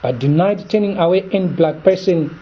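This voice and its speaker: A man reads a news report in a formal, measured way. His voice sits high and has a husky edge. The sound is dull and lo-fi.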